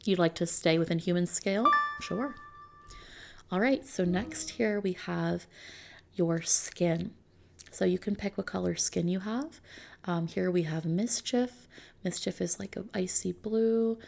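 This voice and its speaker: A woman doing an ASMR roleplay as a customization consultant, talking softly and unhurriedly to a customer in a gently explanatory, reassuring way. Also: fairly low pitch, measured pace, creaky, a little hiss or hum. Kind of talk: ASMR roleplay, soft customer-service talk.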